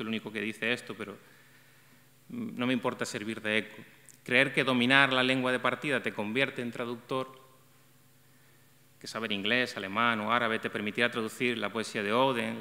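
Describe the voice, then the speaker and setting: A middle-aged man reading a prepared essay aloud at an arts center event, measured and reflective, with small restarts. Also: medium pitch, bright, forceful tone. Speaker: event coordinator presenting a talk on translation. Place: arts center stage, seated at a table. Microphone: table microphone. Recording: long hall reverb.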